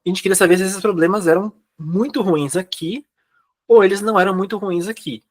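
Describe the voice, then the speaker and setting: A man's voice: sits high, a little fast. A Brazilian man speaking Portuguese with a Brazilian accent, casually recounting personal experience as a guest in a conversation. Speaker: guest, immigrant to Uruguay.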